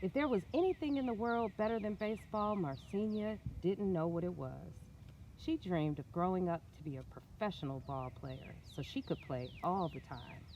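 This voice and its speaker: Woman, mellow lower voice, expressive storybook reader with a conversational touch, faint noise on the recording. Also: moderate pace, dull audio. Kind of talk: storybook read-aloud with casual asides.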